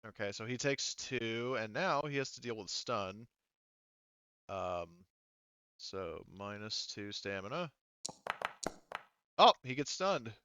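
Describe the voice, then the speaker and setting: A man's voice: lowish voice, lively intonation, takes it slowly, pauses often, sharp tone, muffled recording, a little hiss or hum. A man speaking informally as a game master, narrating play and calling rules, with some swearing. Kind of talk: casual tabletop game narration and rules calls.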